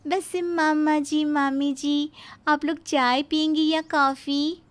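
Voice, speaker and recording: A woman's voice: high-pitched, little pitch movement, slow. A woman playing a cheeky, lazy young daughter-in-law in a moral story, talking with exaggerated mock politeness. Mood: mock-polite. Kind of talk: scripted Hindi moral story acting.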